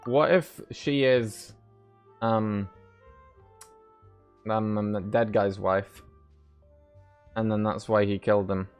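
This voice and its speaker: A man's voice: on the low side, steady tone, takes it slowly, pauses often, a little mellow, clean tone. A man giving casual gameplay commentary, musing in hesitant bits. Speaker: game streamer. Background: game music.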